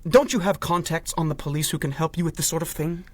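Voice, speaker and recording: An actor playing a wry, exasperated, principled doctor in a 1930s pulp radio drama, talking in a wordy, sardonic way. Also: male voice, a little high, fast, lightly acted.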